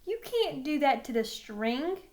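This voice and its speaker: A cocky young football star, voiced by a woman reading a children's novel, whining and protesting in a high voice. He is dramatic and sure of his own importance. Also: very animated, a little slow, darkish tone, heavily acted. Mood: indignant protest. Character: cocky, whiny middle school football star boy. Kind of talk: children's novel read aloud.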